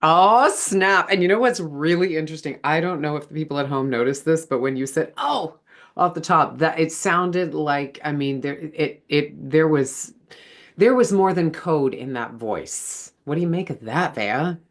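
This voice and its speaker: Female vlog host, casual and conversational, with expressive, playful delivery. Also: deep voice, normal speed.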